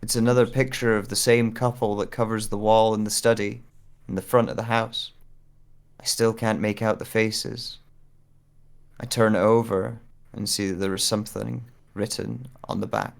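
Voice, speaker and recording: A man playing an anxious searcher with lost memories, narrating his journal for an audio drama in a deliberate, searching way. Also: on the low side, level tone, vocal fry. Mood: puzzled, searching.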